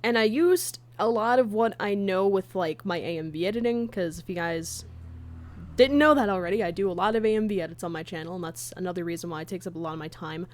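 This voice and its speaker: A woman's voice: on the low side, fast talker. A woman chatting casually on a livestream as its host and creator. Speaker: streamer, fan comic dub creator.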